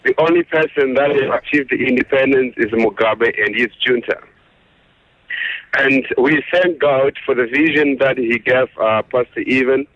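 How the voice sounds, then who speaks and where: A Zimbabwean man calls in over a muffled, dry phone line. His voice is medium-pitched, and he speaks deliberately and earnestly, with a devout manner. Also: a bit bright, dry recording. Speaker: phone-in caller. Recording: dull telephone line, dry.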